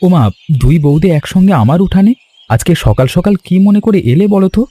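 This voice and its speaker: A man voicing an eager, obliging young village man in animated cartoon dialogue. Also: sits high, brisk, slightly rough, no room sound. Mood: curious, surprised.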